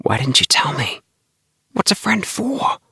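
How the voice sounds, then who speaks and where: Man acting young male space traveller, lifted pitch, eager, chatty, friendly, sci-fi audiobook. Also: sing-song, unhurried, gravelly, sharp tone, slightly rough, no echo, in a whisper, studio quality, full character voice. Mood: hushed, urgent. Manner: chirpy, friendly.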